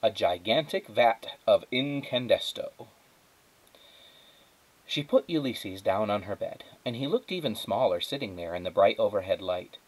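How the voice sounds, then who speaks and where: Male storybook reader, teacher reading to children, fairly low voice, lightly performed dialogue. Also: expressive, measured pace, poor audio. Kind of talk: children's storybook read-aloud.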